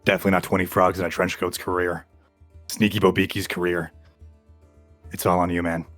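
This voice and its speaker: A man's voice: sits low, level tone, a little fast, fairly bright tone, dry recording, faint music behind. A man voicing a desperate, pushy character who has everything riding on one plan. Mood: intense.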